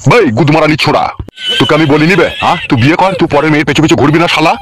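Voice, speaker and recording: A man's voice: fairly high pitch, racing, a crowd in the background, lightly acted. A man voicing a brusque, bossy, swearing older thug uncle in a comic cartoon. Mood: scolding. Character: gruff, foul-mouthed, bossy older neighbourhood thug uncle.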